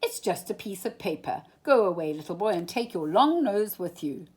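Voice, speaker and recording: A dismissive male ticket seller put on by a woman storyteller. He barks and scolds in a brusque, very animated storybook manner. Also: medium pitch, moderate pace, breathy edge. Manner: scolding, barking. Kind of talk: children's storybook character voice.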